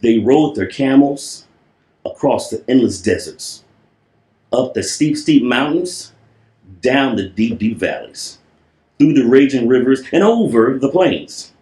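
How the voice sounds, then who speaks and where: Slow-paced man reading a storybook, roomy echo. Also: mid-range voice, lively intonation, mellow. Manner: playful, theatrical, stretches and repeats words for effect. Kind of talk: animated storybook read-aloud with playful asides. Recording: roomy, echoing voice recording.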